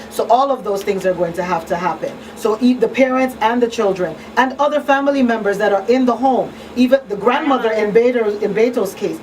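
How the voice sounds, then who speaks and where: A woman speaks conversationally, explaining things on a radio talk show. Her voice sits at a middle pitch, a little away from the microphone, with faint noise in the small studio. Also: darkish tone, far from the mic. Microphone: studio microphone on boom arm. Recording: roomy off-mic sound, faint background noise.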